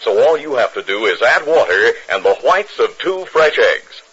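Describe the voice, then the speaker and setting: A man's voice: mid-range voice, big pitch swings, normal speed, a bit bright, breathy edge. An American man announcing and narrating in a polished, dramatic 1950s radio style. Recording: very noisy, muffled 1950s radio recording.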